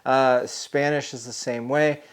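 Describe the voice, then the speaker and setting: A middle-aged man talks through his thoughts on a solo podcast. He rambles in an easy, mid-pitched voice, full of "uh" and "you know" and frequent restarts. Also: fairly even intonation, unhurried, clean tone. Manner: thinking aloud, many fillers and restarts. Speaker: podcast host; programming teacher.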